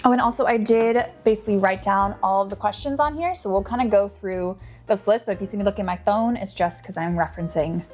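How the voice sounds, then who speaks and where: Brief: young woman, mid-pitched, hoarse and a bit fried, friendly tutorial chatter, light music underneath. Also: quick pace, few pauses, darkish tone. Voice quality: upbeat and chatty, often drops to a whisper. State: hoarse with some vocal fry from allergies. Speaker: polymer clay artist and small business owner. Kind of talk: casual tutorial chat. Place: small home studio in an apartment.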